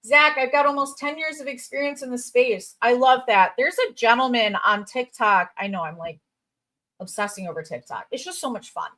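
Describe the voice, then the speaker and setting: Woman, 30s, Chicago accent, upbeat recruiter host, somewhat high voice, casual livestream patter. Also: sharp tone. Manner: bubbly, chatty, quick asides and fillers. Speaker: crypto recruiter hosting a livestream. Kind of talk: casual livestream chat reading viewer comments.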